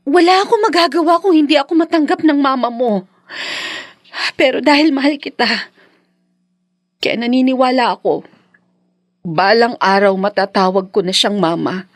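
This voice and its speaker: High-voiced, gentle Filipino young wife. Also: female voice, normal speed, long pauses, dry recording, clean studio sound. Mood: wounded, pleading. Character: hurt but loving young wife, patient and hopeful. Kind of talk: radio drama acting.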